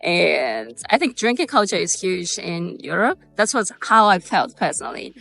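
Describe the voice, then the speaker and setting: A woman's voice: fairly low pitch, big pitch swings, moderate pace, creaky, a bit bright. A Japanese woman in her twenties with a Japanese accent tinged with Australian and British sounds, chatting casually through a Q&A vlog, bubbly and full of "like" fillers. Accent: Japanese with Australian and British traces. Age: 20s. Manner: bubbly, lots of "like" fillers and laughs. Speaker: vlogger.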